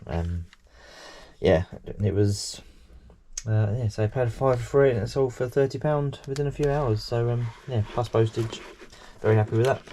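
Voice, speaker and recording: A middle-aged man chats casually to camera, his voice a bit nasal from a cold. He rambles along with plenty of ums and self-deprecating asides, speaking in a slightly low voice. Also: a little mellow, slight background noise. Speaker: eBay reseller vlogger.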